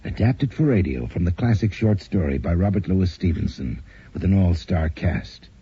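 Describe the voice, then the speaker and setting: A man's voice: animated, mellow. A man hosting a radio drama, signing off and announcing next week in an ominous tone. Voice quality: deep, husky and slow. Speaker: host. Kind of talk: ominous radio host sign-off. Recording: dull old radio broadcast.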